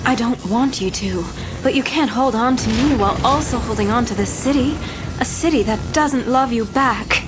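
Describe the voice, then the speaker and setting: A woman's voice: fairly high pitch, over music, close to an everyday voice. An actress playing a seductive female crime boss in a noir audio drama, cool and teasing. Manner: cool, teasing, unhurried wit.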